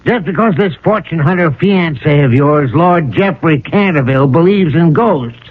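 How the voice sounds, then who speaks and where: A man's voice: on the high side, lively intonation, moderate pace. An actor playing a stubborn, skeptical American businessman father, speaking bluntly and emphatically in a radio drama. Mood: irritated. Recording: muffled, lo-fi old radio recording.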